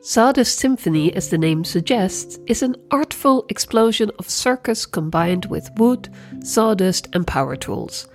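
A woman's voice: medium pitch, expressive, a little slow, soft background music. Female host, mid-range voice, scripted narration and interview questions.

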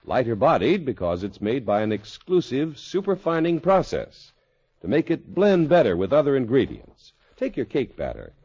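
A man's voice: mid-range voice, wildly swooping pitch, moderate pace, a little mellow, husky. A man reading a scripted 1950s radio advertisement as an announcer, with a polished, emphatic sales delivery. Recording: muffled old radio recording.

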